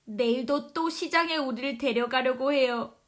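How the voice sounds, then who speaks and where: A woman voicing a gentle, polite talking terrapin in a children's storybook, speaking earnestly and emphatically. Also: high-pitched, moderate pace. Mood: pleading. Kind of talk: children's storybook character acting.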